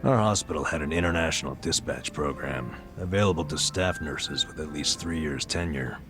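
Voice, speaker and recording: A man's voice: extremely low pitch, normal speed. An actor playing a burned-out, middle-aged former nurse in a video game, telling his story with an American accent and a wry, self-deprecating weariness. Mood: resigned. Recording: music behind the voice.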